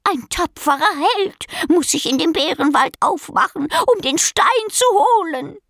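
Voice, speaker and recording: A cryptic old male raven with a stunted wing croaks out slow, singsong rhymes in a storybook reading, put on by a woman. The voice sits very high and swoops widely in pitch. It is recorded close and dry. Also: animated, fairly bright tone, no echo, heavily acted. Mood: solemn urging. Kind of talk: storybook character voice.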